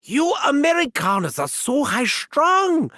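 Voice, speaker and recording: A man's voice: very high-pitched, wildly swooping pitch, unhurried, bright, forceful tone, dry recording, clean studio sound, full character voice. A man playing a gleefully sadistic, arrogant German mad scientist in a video game, with a German accent and a theatrical, pompous, sneering delivery. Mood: mocking, sly. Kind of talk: video game villain voice lines.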